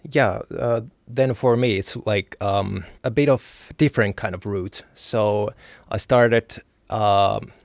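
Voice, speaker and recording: A man speaks in a low, gravelly voice, hesitant and halting, with lots of filler sounds between his words. The sound is dry and close. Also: no echo. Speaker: guest, audio designer. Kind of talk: casual interview chat.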